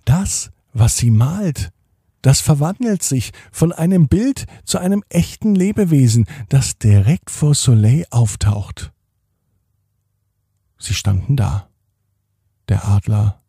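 A man hosting a children's podcast, gently reading a bedtime story in a calm, soothing way. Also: mid-range voice, breathy edge, no echo, clean studio sound.

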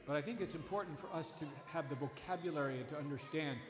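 Earnest middle-aged priest in echoey church. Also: male voice, fairly high pitch, fast talker, noisy background. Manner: earnest, emphatic preaching with many restarts. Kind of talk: Sunday homily. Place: church, at a lectern. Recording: echoey church with long reverb.

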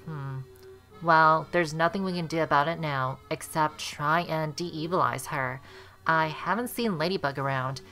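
Woman as a brash superheroine, piercingly bright, commanding, young, faint music behind. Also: low-pitched, a little slow. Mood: concerned. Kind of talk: fan comic dub acting.

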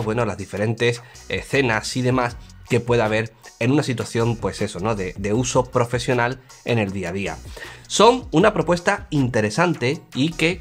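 Guy doing a product review, explains stuff clearly, chatty, music in the background. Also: medium pitch. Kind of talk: presenting a product review to camera. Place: indoor studio, grey backdrop.

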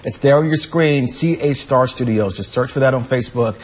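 A man's voice: mid-range voice, a little fast, slightly rough. An American man hosting a live morning television interview in a polite, affirming manner. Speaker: TV morning show host.

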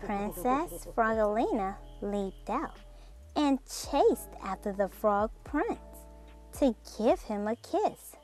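A woman reading a children's book aloud in an expressive, dramatic storytelling manner. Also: a little high. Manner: expressive, with drawn-out dramatic words and sound effects. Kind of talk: animated read-aloud storytelling. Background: music.

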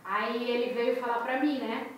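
A woman in her thirties with a Brazilian Portuguese accent, telling a story to her viewers in a lively, expressive way from a small bedroom. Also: a little high, normal speed, distant mic, dull audio, a little hiss or hum. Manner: animated, expressive storyteller, gestures a lot. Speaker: crochet and crafts channel host. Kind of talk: casual storytelling vlog to viewers. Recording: echoey room, voice off the mic.

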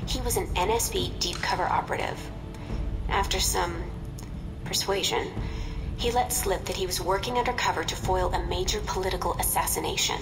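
Lowish, composed mission handler. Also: female voice, a little fast, creaky, recorded from a distance, music in the background. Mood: businesslike. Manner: businesslike, matter-of-fact. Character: composed, efficient female intelligence handler. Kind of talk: video game voice acting.

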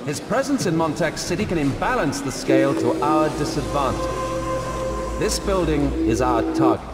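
An actor playing a commanding gangster in a video game, briefing a job in a businesslike manner. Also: male voice, on the high side, expressive, normal speed, loud music behind the voice, lightly acted. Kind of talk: video game cutscene acting. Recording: echoey hall, loud background noise.

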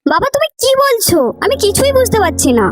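A woman's voice: very high-pitched, sing-song, fairly quick, loud music behind the voice. A woman voicing a young cartoon girlfriend, affectionate but firm and bossy, with a teasing, chiding manner. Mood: bewildered. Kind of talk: Bengali comedy cartoon dubbing.

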